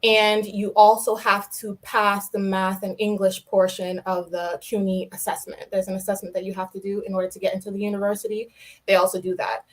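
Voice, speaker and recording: Young woman, from New York City, explanatory tone, mid pitch, podcast guest. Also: little pitch movement, fairly quick. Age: young adult. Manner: explanatory, with light laughs. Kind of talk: podcast interview.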